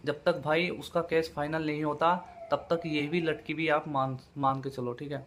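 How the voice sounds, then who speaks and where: A man's voice: on the high side, flat delivery, moderate pace, a bit bright, slight background noise. A man giving an informal explainer to viewers as a channel host, repeating his points with familiar check-in tags. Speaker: YouTube host giving job exam updates.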